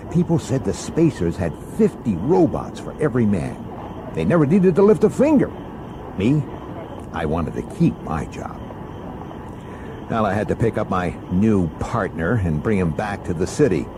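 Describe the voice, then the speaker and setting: Gruff low-voiced noir detective. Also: male voice, very animated, measured pace, background noise. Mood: sardonic, grumbling. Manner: gruff, irritable, sardonic. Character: hard-bitten, resentful plainclothes police detective, narrator. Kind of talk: radio drama acting with first-person noir narration.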